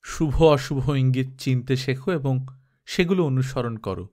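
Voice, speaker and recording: A man voicing a wise old king who gives gentle, solemn advice. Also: medium pitch, a little slow, natural voice. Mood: advising.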